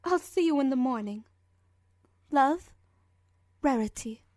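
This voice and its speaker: A woman's voice: high-pitched, lively intonation, slow pace, darkish tone, no echo, studio quality. A woman voicing a refined, lovestruck young female pony fashionista, theatrical and elegant, in an audio drama letter reading. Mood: tender, resolved.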